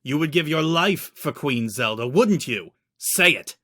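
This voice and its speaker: A man's voice: a little high, expressive, measured pace, sharp tone, no echo, clean studio sound, full character voice. A man voicing a stern, contemptuous court wizard who speaks in a formal, cutting, declamatory way in a dramatic audiobook reading. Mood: menacing, demanding.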